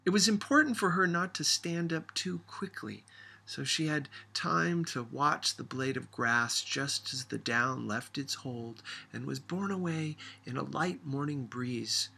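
Male reader for literary audiobook. Husky edge, bright tone, measured scripted narration. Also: medium pitch.

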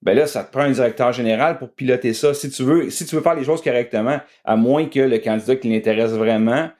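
A man's voice: medium pitch, fairly quick, darkish tone. A middle-aged man with a Quebec French accent giving casual sports analysis as a guest journalist.

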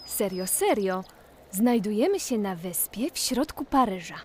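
A woman's voice: medium pitch, very animated, measured pace, slight background noise. A woman playing an eager, curious little girl full of wonder in a children's audio play. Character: curious, enthusiastic little girl exploring with brother.

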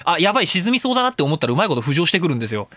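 A man's voice: fairly high pitch, sing-song, very fast, a bit bright, dry recording. A man hosting a casual radio talk show, rambling between serious points and crude, jokey rants.

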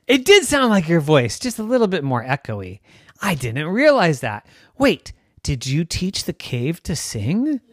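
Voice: mid-range voice, a little slow, dry recording, clean studio sound, voice pushed up. A storyteller voicing an adventurous young girl explorer who jumps from boredom to thrilled squeals. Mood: puzzled. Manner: squealing, breathless excitement.

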